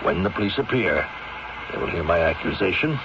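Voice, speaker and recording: An actor playing a resolute family doctor with a measured, authoritative manner and an American accent in a 1970s radio drama. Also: male voice, low-pitched, expressive. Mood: grave, resolute. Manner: measured, authoritative, repeats himself. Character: resolute male family doctor calmly exposing a crime. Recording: muffled, noisy 1970s radio recording.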